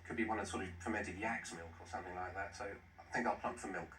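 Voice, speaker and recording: A man in his forties with a fairly low voice who talks his way through each answer aloud. He is recorded from a distance in an echoey studio, with clear background noise. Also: fast, bright, forceful tone. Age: 40s. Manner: muses aloud while reasoning out answers. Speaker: quiz contestant, team captain.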